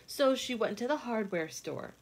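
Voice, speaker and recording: A woman in her thirties reading a picture book aloud for children in a deliberate, sing-song, teacherly way, with asides to the listeners. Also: on the high side, animated, slightly rough, poor audio. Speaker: read-along storyteller for children. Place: indoor rooms at home.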